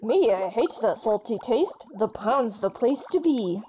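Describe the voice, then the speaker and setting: A woman's voice: on the high side, animated, unhurried, dark tone, slight background noise. A woman voicing tiny talking bacteria in a children's storybook, playful, fussy and exaggerated. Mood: playful, picky. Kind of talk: children's storybook character reading.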